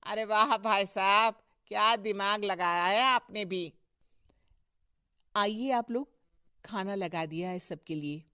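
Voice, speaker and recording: A woman voicing a deferential, effusive townsperson in an animated moral story. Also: medium pitch, a little slow, no echo, clean studio sound, lightly acted. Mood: fawning.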